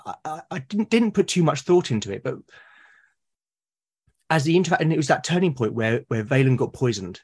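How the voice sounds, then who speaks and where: A man from Britain, a podcast guest, talking eagerly about his characters, with lots of restarts and a slightly husky voice. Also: a little high, animated, brisk, darkish tone. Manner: enthusiastic, rambling with many restarts. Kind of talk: casual podcast conversation.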